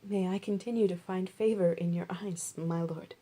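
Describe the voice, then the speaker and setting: A woman's voice: fairly low pitch, fairly even intonation, moderate pace, mellow, close to an everyday voice. Woman playing young widow, humble, devoted, deferential, earnest, Bible storybook. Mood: humble, grateful.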